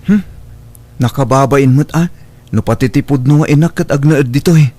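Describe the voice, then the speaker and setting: A man's voice: medium pitch, moderate pace, warm tone, natural voice. A man with an Ilocano accent acting a blunt, resentful grown son in a radio drama. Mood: stubborn.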